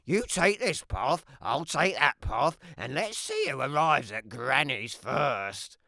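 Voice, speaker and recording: A woman voicing a sly, hungry, wry male wolf who tells his own tale in an animated children's read-aloud, with dramatic emphasis and playful asides. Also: very deep voice, takes it slowly, vocal fry, no echo, clean studio sound, full character voice. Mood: sly, scheming.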